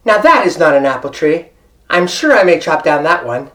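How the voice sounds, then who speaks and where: A woman's voice: low-pitched, very animated, moderate pace, fairly bright tone, pitched below the performer's own voice. A woman storyteller playing a timid, apologetic woodcutter who stammers and hesitates. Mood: musing. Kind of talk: live folktale storytelling.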